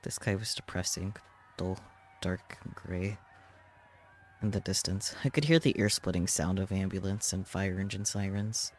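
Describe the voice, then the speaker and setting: A man with a fairly low voice narrates a story aloud in a dramatic read-aloud style. Clear noise and ambience sit behind his voice. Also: fairly even intonation, moderate pace. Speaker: streamer reading a visual novel aloud.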